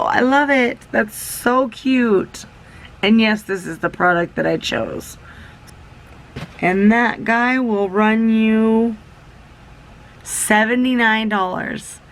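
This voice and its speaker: A woman's voice: mid-range voice, vocal fry. A young woman vlogger chatting through a beauty box unboxing, rambling and enthusiastic, a little sick and losing her voice. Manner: rambling, self-interrupting, enthusiastic.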